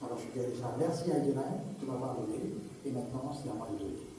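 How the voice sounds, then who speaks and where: A man's voice: mid-range voice, quick pace. Hesitant man pledging at a town meeting. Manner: hesitant, filler-heavy. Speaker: candidate. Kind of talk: public meeting speech. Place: public meeting hall. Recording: echoey hall, mic far off, faint noise.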